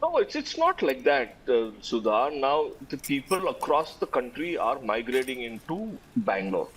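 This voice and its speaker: A man's voice: medium pitch, very animated, moderate pace, fairly bright tone, slightly rough, low-quality recording. A man whose first language is Urdu, arguing emphatically as a panelist in a heated TV debate and repeating words as he presses his point.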